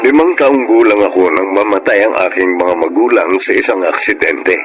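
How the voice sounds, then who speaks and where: A man's voice: fairly low pitch, normal speed, faint music behind, natural voice. An actor playing an earnest, polite family man and father in a Filipino radio drama. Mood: reflective, sad.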